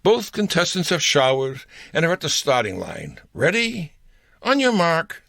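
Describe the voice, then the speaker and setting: Man putting on an excited, high-pitched race announcer. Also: measured pace, gravelly, no echo, clean studio sound, full character voice. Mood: excited, emphatic. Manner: declaiming, emphatic. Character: excited male radio announcer calling a race. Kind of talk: mock sports radio announcing.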